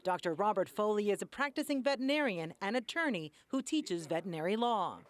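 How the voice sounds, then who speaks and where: A woman's voice: medium pitch, expressive, fairly quick. A woman reading a scripted investigative television news report as the reporter.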